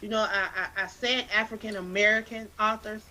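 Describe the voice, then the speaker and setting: A woman with a South Carolina Southern accent, a guest in a casual interview, talking in an animated, preacherly way and often repeating words as she builds a point. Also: mid-range voice, a little fast. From Anderson, South Carolina. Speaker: guest, literacy campaign founder.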